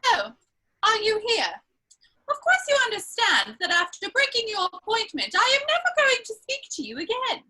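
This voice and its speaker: Woman acting as coquettish young society lady, extremely high voice, animated swoops, arch and imperious, stage comedy. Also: normal speed, exaggerated voice, poor audio. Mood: mock scolding. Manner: arch, theatrical, playfully scolding. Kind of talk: stage comedy of manners, dramatic reading.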